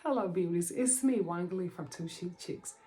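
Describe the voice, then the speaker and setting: A woman's voice: lowish voice, moderate pace, loud music behind the voice. A woman hosting a beauty vlog, talking in a chatty way with a nasal, stuffy voice from a cold, and repeating phrases for emphasis. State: nasal from a cold, sore throat. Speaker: beauty vlogger. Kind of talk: casual hair vlog chat.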